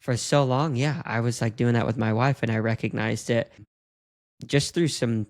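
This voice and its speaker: A man's voice: mid-range voice, fairly even intonation, moderate pace, darkish tone. A man in his thirties hosting a podcast, musing openly and frankly about his own mistakes. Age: 30s. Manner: open, confessional musing. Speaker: podcast host. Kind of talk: podcast interview.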